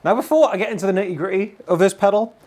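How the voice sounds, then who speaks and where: A man's voice: sits high, racing, darkish tone. A middle-aged British man giving an enthusiastic, emphatic and colloquial gear demo to camera. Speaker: guitar gear demonstrator. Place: indoor room full of guitars and amplifiers.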